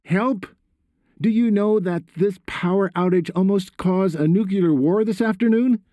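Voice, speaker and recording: A man's voice: lowish voice, animated, unhurried, warm tone, no echo, clean studio sound. A man voicing a worried father in an audiobook, stern but caring, earnest and urgent. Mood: angry.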